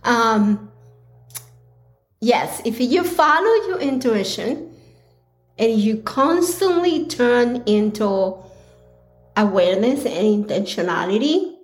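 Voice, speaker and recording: Slow-talking, slightly hoarse woman host. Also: a little high, lively intonation, faint music behind. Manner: slow, earnest, with pauses and restarts. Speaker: podcast host. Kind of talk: casual solo podcast talk.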